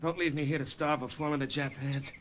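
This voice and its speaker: An actor playing a terse, slangy American pilot, a stoic comrade in danger, in an old radio war drama. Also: male voice, a little high, fairly even intonation, fast, a bit bright, lightly acted. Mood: strained pleading. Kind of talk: 1940s-50s radio drama acting. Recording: slightly noisy old-time radio recording.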